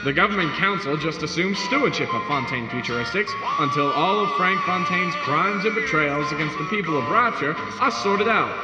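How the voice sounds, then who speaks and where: A man's voice: fairly high pitch, expressive, normal speed, few pauses, lightly acted. An actor playing a folksy, patronising man in a staged old-time propaganda announcement skit. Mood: chipper, patronising. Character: folksy, reassuring male propaganda announcement character. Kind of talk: old-time American public service skit. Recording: dull echoey public address, loud music.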